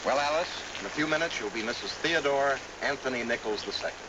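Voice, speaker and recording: An actor playing an eager, confident young bridegroom in brisk 1940s film style. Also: male voice, mid-range voice, lively intonation, dull audio, close to an everyday voice. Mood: affectionate. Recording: noisy old film soundtrack, rain and car.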